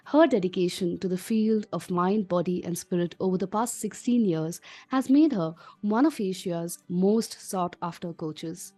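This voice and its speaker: A woman's voice: lowish voice, unhurried, few pauses, warm tone, faint music behind. A woman hosting a podcast, reading a polished intro and then interviewing a guest. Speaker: podcast host, coach.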